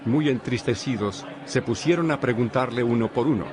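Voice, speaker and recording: Man narrating a gospel, slow and steady. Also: medium pitch. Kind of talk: dramatized scripture narration.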